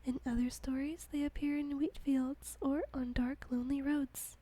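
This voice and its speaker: A woman whispering an ASMR reading in a soft, gentle voice, with light giggles between passages. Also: high-pitched, flat delivery, moderate pace. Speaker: ASMR host.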